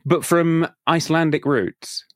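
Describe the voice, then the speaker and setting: A man hosting a software podcast, introducing the episode and then interviewing a guest in a casual chat. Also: on the high side, fairly even intonation, measured pace, no echo, clean studio sound. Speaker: podcast host.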